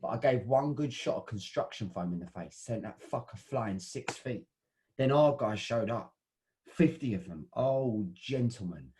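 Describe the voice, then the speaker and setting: A man's voice: mid-range voice, expressive, measured pace, mellow, a little hiss or hum, close to an everyday voice. An actor playing a rowdy football hooligan who swears and stammers as he tells the story. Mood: boastful. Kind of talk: satirical audio drama.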